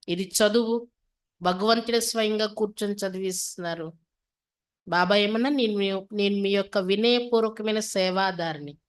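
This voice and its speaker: Female spiritual teacher, mid-pitched voice. Reads scripture and explains it step by step, repeating and questioning. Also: a bit bright. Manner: instructive, repeats and paraphrases, with rhetorical questions. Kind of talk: religious discourse, reading aloud then translating and explaining.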